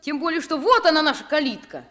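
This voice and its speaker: An eager young boy in a 1950s radio play, her voice high and animated, bouncing with each line. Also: fast, some chatter behind. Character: young French boy, lively and loyal.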